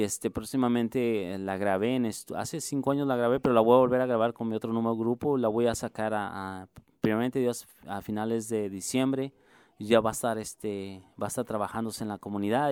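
A man with a Mexico City Spanish accent gives an interview as a musician and music instructor. His voice is smooth and close, with no room sound, and sits at an ordinary pitch. He talks earnestly, halting and circling back to rephrase his points. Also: a little mellow, no echo. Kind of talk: radio interview answers.

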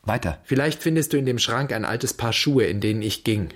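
A man in a German radio drama playing a brooding, haunted son who muses in halting fragments and questions. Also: medium pitch, animated, lightly acted. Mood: wistful. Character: brooding son inspecting his dead father's flat, haunted, searching. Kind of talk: German radio drama acting, introspective monologue.

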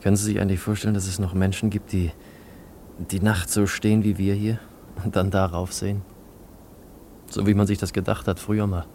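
A man playing a wistful, shy young suitor in a German radio play, speaking in a halting, pensive way. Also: low-pitched, flat delivery, mellow, a little hiss or hum. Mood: wistful, hushed. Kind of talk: 1950s-set German radio play acting.

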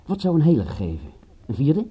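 A man playing a private detective's calm, reassuring friend in a radio play, speaking matter-of-factly. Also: a little high, fairly quick, husky, dull audio, full character voice. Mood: pondering. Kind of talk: Dutch radio play acting.

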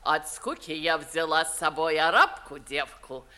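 A woman's voice: low-pitched, lively intonation, pushed and bright, heavily acted. A woman playing an imperious, grumbling old noblewoman in a theatrical 1950s Russian stage comedy style. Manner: theatrical, declamatory, drawn-out grumbling. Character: imperious grumbling 65-year-old Moscow noblewoman, blunt old aunt.

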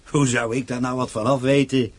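An actor playing a sly, defiant poor peasant father in a radio drama, raspy, mocking and resentful. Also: mid-range voice, level tone, normal speed, lightly acted. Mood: mock innocence. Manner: mocking, resentful, feigning innocence.

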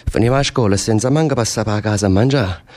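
A man's voice: fairly low pitch, fast talker, a little mellow, close to an everyday voice. A man playing a lovesick, restless prisoner in a radio play, telling rambling, impassioned stories in Neapolitan dialect. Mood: amused reminiscing. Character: lovesick, restless male prisoner, volatile storyteller. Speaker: stage actor. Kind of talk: radio theatre dialogue in dialect.

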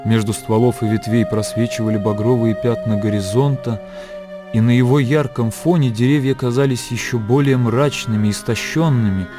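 A man's voice: mid-range voice, fairly even intonation, moderate pace. Male literary narrator over music. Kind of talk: literary scripted narration for radio play.

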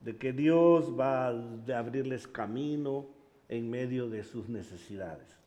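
A man from Honduras preaching in Spanish with a Honduran accent, pausing for an interpreter and telling stories with animated humor. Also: mid-range voice. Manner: animated storytelling, laughs at himself. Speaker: pastor. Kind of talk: bilingual sermon with interpreter.

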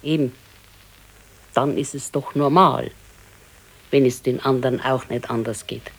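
An actress playing a resigned, wistful elderly wife with a Bavarian accent in a naturalistic radio play, speaking plainly and haltingly. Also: female voice, extremely low pitch, steady tone, gravelly, breathy edge, heavily acted, low-quality recording. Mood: resigned regret. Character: wistful, resigned old pensioner's wife, thrifty.

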